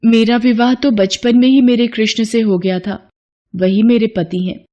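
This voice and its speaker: A woman's voice: on the high side, fairly even intonation, normal speed, warm tone, dull audio, voice pushed up, close to an everyday voice. A woman playing a devoted young bride in a storybook tale, earnest and insistent. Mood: earnest, resolute. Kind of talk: acted devotional storybook dialogue.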